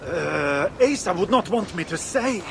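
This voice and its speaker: An actor playing a frightened, stammering underling in a video game. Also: male voice, sits high, lively intonation, measured pace, gravelly, fairly bright tone, slight background noise, exaggerated voice. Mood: terrified. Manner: nervous, stammering. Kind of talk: video game cutscene acting.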